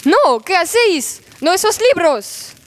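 A woman's voice: very high-pitched, very animated, measured pace, heavily acted. A teenage girl playing a dreamy, indignant knight, Don Quixote, in a student audio drama. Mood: distressed.

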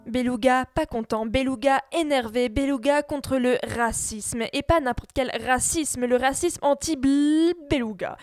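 A woman's voice: sits high, a little slow, few pauses, darkish tone, dry recording, soft background music. A woman hosting a radio show, reading scripted commentary and presentation.

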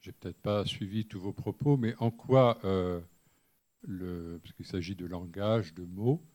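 A man's voice: low voice, unhurried, darkish tone. A man from the audience putting a question, searching for words and piling up phrases before asking it.

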